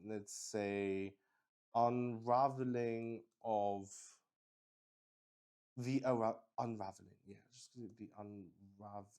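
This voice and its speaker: A man with a fairly low voice plays a solo tabletop game on stream. He speaks slowly and haltingly, musing out loud as he improvises the story and works through the rules. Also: smooth. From Luxembourg. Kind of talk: improvised game narration, thinking aloud.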